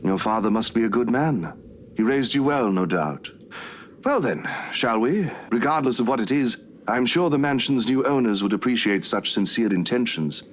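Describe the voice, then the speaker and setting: An actor playing a courteous, youthful priest in a visual novel, speaking formally and gently preaching. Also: male voice, mid-range voice, animated, normal speed, slight background noise, lightly acted. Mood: genial. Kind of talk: scripted visual novel voice acting.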